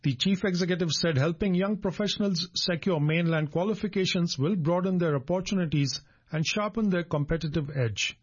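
A man's voice: sits high, brisk, few pauses, breathy edge, dull audio. A man narrating a scripted news report.

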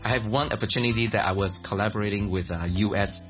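An animated man from Malaysia tells his story as an interview guest, laughing often and circling back over his words. The audio is slightly dull, with faint music under the talk. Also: lowish voice, quick pace. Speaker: guest, creative director and songwriter. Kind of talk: casual interview chat.

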